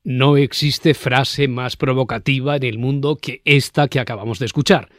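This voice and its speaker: A man's voice: mid-range voice, steady tone, moderate pace, dry recording. Castilian male podcast host, dry studio sound. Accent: Castilian Spanish. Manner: articulate, radio-presenter delivery. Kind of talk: scripted presenting of a discussion show. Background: faint music bed.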